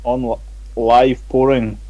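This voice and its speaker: A Scottish man chatting on a podcast, stumbling over his words and joking as he goes. His voice sits at a middle pitch. The sound is dry, with no room echo. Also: level tone, slow pace, warm tone, muffled recording. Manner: hesitant, restarts a lot, jokey. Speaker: podcast co-host. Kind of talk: casual chat.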